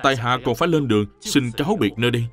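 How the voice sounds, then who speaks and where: Guy as polite young scholar in an old-times cartoon, humble, earnest, talks fast. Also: mid-range voice, soft background music, close to an everyday voice. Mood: modest. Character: courteous, humble, upright young male scholar. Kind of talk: animated historical cartoon dubbing.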